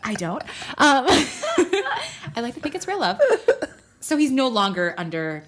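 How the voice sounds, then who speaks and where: A woman's voice: high voice, wildly swooping pitch, a little slow, fairly bright tone. A woman co-hosting a comedy podcast in casual banter, with a giggly, playful manner. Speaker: podcast co-host.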